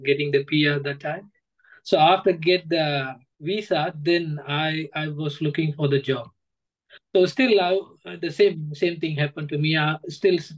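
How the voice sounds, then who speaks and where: Male interview guest, thirties, Sri Lankan accent, slightly high voice, hesitant delivery over a video call. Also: low-quality recording. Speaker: guest, former coaching student. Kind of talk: interview answers, personal account. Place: indoor room, on a video call.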